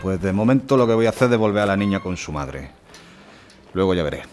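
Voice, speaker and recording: A man playing a stubborn, gruff truck driver. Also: sits low, lively intonation, quick pace, music in the background. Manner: gruff, blunt, swearing. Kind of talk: radio drama acting.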